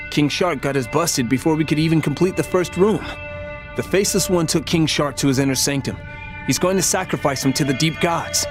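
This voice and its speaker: An actor playing an earnest, plain-spoken heroic ally in a video game. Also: male voice, fairly high pitch, natural voice. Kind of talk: video game character acting.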